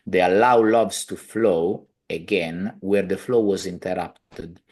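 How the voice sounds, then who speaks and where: A man's voice: deep voice, fairly even intonation, takes it slowly, a little mellow. A man in his thirties with an Italian accent, explaining his healing practice conversationally as an interview guest on a video call. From Italy. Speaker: podcast guest, healer, family constellations practitioner. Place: living room at home, indoors, video call.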